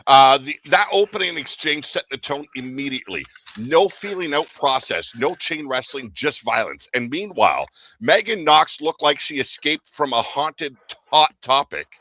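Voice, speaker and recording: Bright-voiced, wry male podcast host. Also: a little high, big pitch swings, normal speed, rough recording. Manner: wry, joking commentary with colorful comparisons. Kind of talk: wrestling recap podcast.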